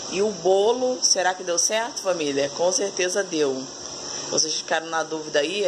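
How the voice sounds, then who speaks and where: Woman in her thirties from Brazil, chatty home vlogger, mid pitch, noisy phone recording. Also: normal speed. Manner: chatty, keeps calling viewers "família". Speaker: family vlogger. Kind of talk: casual home vlog narration. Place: home kitchen and dining room.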